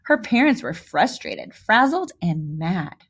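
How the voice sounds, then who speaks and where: Very animated woman reading a storybook. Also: lowish voice, takes it slowly, breathy edge. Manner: animated, theatrical emphasis with asides to young listeners. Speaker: volunteer reader of a children's picture book. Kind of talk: expressive read-aloud storytelling.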